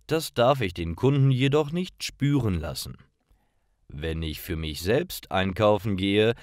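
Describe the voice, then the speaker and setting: Slow, low-voiced man narrating. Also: dry recording, studio quality. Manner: careful, deliberate reading. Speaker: narrator of German-learning stories. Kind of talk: slow scripted narration for language learners.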